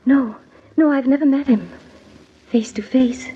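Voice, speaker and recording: An actress playing a dreamy, enraptured young bride-to-be in an old-time radio drama, with a wistful, fervent delivery. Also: female voice, high voice, measured pace, husky, heavily acted. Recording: muffled old radio recording, faint noise.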